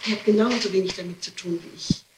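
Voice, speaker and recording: A woman's voice: mid-range voice, fairly even intonation, fast. Insistent woman on an echoey old tape. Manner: emphatic, insistent, pleading. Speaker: person being questioned by a prosecutor. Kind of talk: spontaneous interrogation answers. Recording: echoey, noisy archival interrogation tape.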